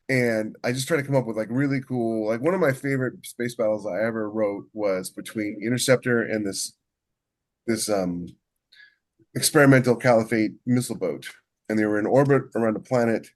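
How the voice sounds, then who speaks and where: A man chatting casually as a podcast co-host and writer, halting and restarting as he thinks aloud. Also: fairly low pitch, darkish tone. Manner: halting, thinks aloud with many repeated false starts. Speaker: podcast co-host and science fiction author. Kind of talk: casual chat about book writing.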